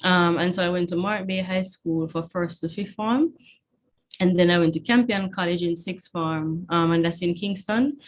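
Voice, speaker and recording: A woman's voice: on the low side, moderate pace. Chatty Jamaican woman teaching online. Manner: encouraging, chatty, checks in with "okay?" often. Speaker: chemistry tutor. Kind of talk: online class. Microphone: computer mic on video call.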